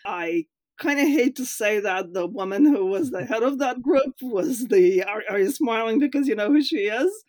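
A woman's voice: on the high side, expressive, moderate pace, a little mellow, dry recording. A woman in her fifties from Russia, a writer answering interview questions hesitantly, with many fillers and laughs. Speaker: writer, guest.

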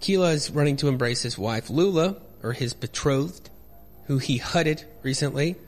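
A man's voice: on the high side, moderate pace, soft background music. A man hosting a podcast, giving joking, rambling commentary with mock indignation as he reads a comic book aloud. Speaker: podcast host.

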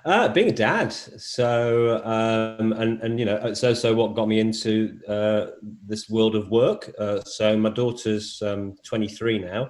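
Hesitant low-voiced Englishman, faint accent. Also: unhurried, few pauses, darkish tone. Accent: English, softened by years in Australia. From England. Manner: hesitant, many fillers and repeated words. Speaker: interview guest, men's health advocate. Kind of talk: conversational interview answers.

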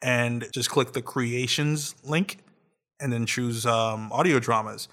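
A man's voice: medium pitch, steady tone, takes it slowly, a bit bright. A man co-hosting a podcast in a casual, playful chat, joking and plugging his own creative work. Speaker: podcast co-host.

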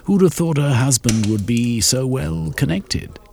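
A man voicing a vain, touchy gentleman at a club dinner, pompous and petulant. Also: medium pitch, expressive, unhurried, vocal fry, slight background noise, pitched above the performer's own voice.

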